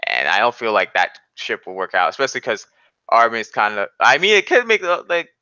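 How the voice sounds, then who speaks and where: A man's voice: medium pitch, big pitch swings, brisk, pushed and bright, clear, resonant tone, no echo, highs cut off. A young man in casual banter, talking in a slangy, argumentative and boastful way.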